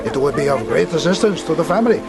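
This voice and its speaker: High-voiced, courteous official. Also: male voice, lively intonation, fast talker, vocal fry. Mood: deferential. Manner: formal, deferential, slightly hesitant. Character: courteous, formal Japanese male official and interpreter. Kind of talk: radio drama acting.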